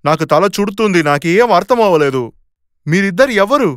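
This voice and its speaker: A woman's voice: mid-range voice, expressive, fairly quick, dry recording, clean studio sound, close to an everyday voice. A woman voicing a bewildered, hot-tempered man in a moral story cartoon.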